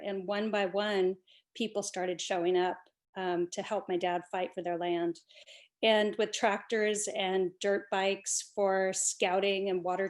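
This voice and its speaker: Earnest woman presenting an award. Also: mid-range voice. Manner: earnest, many "um" fillers. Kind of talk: prepared presentation speech. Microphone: video call.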